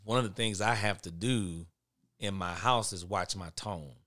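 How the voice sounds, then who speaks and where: A middle-aged man with a big voice that sits on the low side. He talks earnestly and candidly, swelling in volume when he presses a point. The sound is close and dry. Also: moderate pace, dry recording. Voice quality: big, naturally forceful voice. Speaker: guest, father of boys. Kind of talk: casual podcast conversation.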